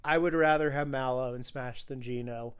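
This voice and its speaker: A man's voice: mid-range voice, steady tone, moderate pace, smooth. A man hosting a casual film podcast with enthusiastic, opinionated chat, recorded in an echoey room at home. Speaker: podcast host.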